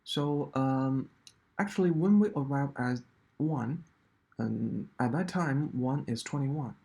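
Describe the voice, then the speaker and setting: A man walking through a coding solution step by step, in a halting explanatory way. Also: mid-range voice, vocal fry, darkish tone. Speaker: programming tutor.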